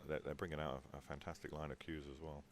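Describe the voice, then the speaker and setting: Male commentator, very low voice, chatty anecdote teller, faint background hum. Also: little pitch movement, quick pace. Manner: chatty, tells anecdotes about players. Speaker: pool commentator. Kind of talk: live sports commentary.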